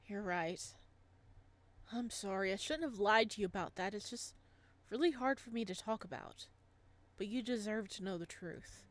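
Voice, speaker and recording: A woman's voice: mid-range voice, unhurried, pauses often, lightly acted. A woman voicing a tender, guilt-ridden young man in a visual novel, speaking in an earnest, hesitant way. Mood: confessing, apologetic. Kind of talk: visual novel dialogue read aloud.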